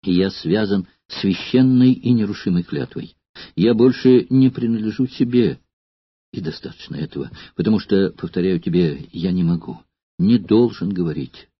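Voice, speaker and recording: A man's voice: low-pitched, moderate pace, breathy edge, no echo, highs cut off. A man reading an audiobook and voicing an anguished male gladiator, who pleads in a trembling, tearful voice. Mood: anguished pleading.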